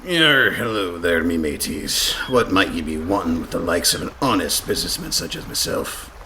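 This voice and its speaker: An actor playing a shifty, dim pirate sailor with a stage pirate accent and folksy, rambling speech in a comic radio play. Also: male voice, sits low, expressive, normal speed, gravelly, pushed and bright, slight background noise, exaggerated voice. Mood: wary, blustering.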